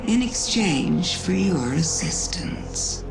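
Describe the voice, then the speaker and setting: A woman playing a sly alien witch queen in a video game, theatrical and mocking, with an unhurried delivery. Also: lowish voice, animated, gravelly, exaggerated voice. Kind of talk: scripted video game cutscene acting. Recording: roomy echo, music behind.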